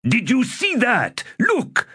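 A male narrator playing an excitable, wheedling soldier who cheats at dice and pleads with mock innocence. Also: mid-range voice, takes it slowly, slightly rough, voice pushed up, heavily acted. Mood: excited, pleading.

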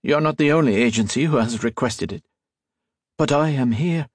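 A man's voice: medium pitch, moderate pace, no room sound, clean studio sound, voice pushed up, close to an everyday voice. A man voicing an anxious male priest archivist with a nasal tone and a light French accent. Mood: nervous bargaining. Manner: pleading, nervous.

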